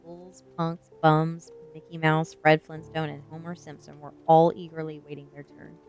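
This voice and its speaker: A woman's voice: sits low, little pitch movement, normal speed, darkish tone. A woman reading a first-person novel aloud as ASMR storytelling.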